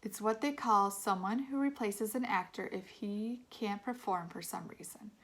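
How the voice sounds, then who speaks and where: A woman voicing a loving, gentle mother in a storybook, speaking in a soothing, affectionate manner. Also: medium pitch, normal speed, natural voice. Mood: explaining.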